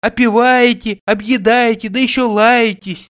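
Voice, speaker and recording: Man putting on a high, scolding housewife. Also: fairly even intonation, measured pace, fairly bright tone, clear, resonant tone. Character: indignant plain-spoken housewife, sharp and fed up. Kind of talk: dramatised audiobook dialogue.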